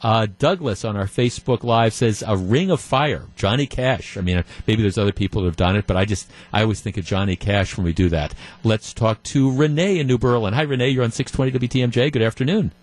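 A man's voice: fairly low pitch, a little fast, few pauses. A male radio talk show host chatting with call-in listeners, often restarting sentences and chuckling. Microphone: studio microphone.

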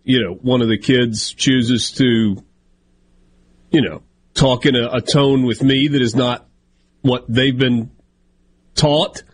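A man's voice: medium pitch, steady tone, takes it slowly, long pauses, low-quality recording. A man hosting a sports radio show, chatty and self-deprecating, with a big voice. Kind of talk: casual sports radio talk.

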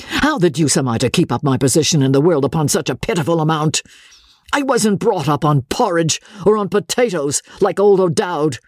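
Narrator playing a proud, hot-tempered young officer, swinging pitch. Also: dry recording, studio quality, close to an everyday voice.

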